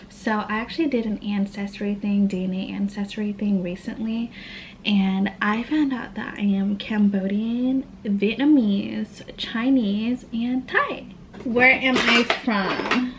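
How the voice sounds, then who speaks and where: A woman's voice: mid-range voice, fairly even intonation, slow, background noise. A woman in her late twenties from Tacoma, Washington, chatting candidly during a makeup Q&A, full of "like" and slang. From Tacoma, Washington, USA. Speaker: beauty vlogger. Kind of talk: casual get-ready-with-me Q&A chat.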